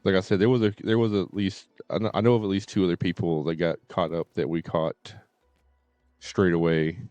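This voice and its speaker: A man live streaming and chatting casually to viewers, halting and often repeating his phrases. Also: low-pitched, level tone, darkish tone, clear, resonant tone, no room sound, dull audio, soft background music.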